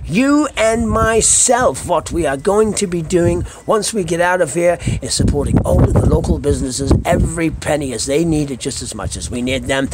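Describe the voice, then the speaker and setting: A man's voice: on the high side, lively intonation, normal speed, few pauses, heavy background noise. A Scottish man hosting a comic monologue, speaking in a theatrical, foul-mouthed way full of colourful slang. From Scotland. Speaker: podcast host. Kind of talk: comic monologue rant to listeners. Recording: music under the voice. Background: music.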